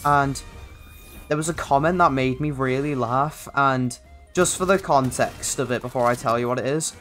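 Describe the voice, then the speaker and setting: A young English man commentates casually on his gameplay in a blend of North London and Scouse. His voice sits at a middling pitch, and he rambles chattily with plenty of slang and swearing. Music plays faintly behind him. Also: normal speed, a bit bright. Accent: hybrid of North London and Liverpool English. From England (North London, grew up in Liverpool). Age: young adult. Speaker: gaming video creator.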